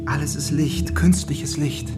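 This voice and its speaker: An actor playing a strong, rebellious son in a German radio play, telling of his forbidden escape with deliberate, dramatic emphasis. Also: fairly high pitch, steady tone, lightly acted. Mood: absorbed, tense. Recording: music under the voice.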